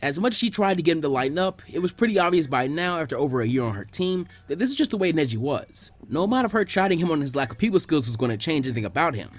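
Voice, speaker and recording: A man's voice: on the high side, big pitch swings, very fast, hardly any pauses. A man reading scripted fan fiction aloud as its narrator.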